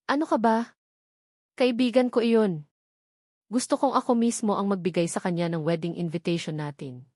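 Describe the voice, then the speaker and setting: An anguished young Filipino mother, protective and stubborn, played by a woman narrator in an audio novel. Her pitch is medium and the sound is dry. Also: fairly even intonation, normal speed, pauses often, darkish tone, dry recording, lightly acted. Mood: defensive, annoyed. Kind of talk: dramatized novel dialogue.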